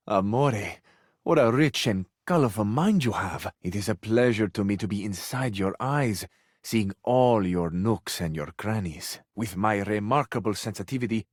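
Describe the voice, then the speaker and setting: An actor playing a flowery, sensual, effusive Italian suitor who flirts overzealously in a video game, with an Italian accent. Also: male voice, fairly low pitch, no echo, clean studio sound. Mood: seductive, tender.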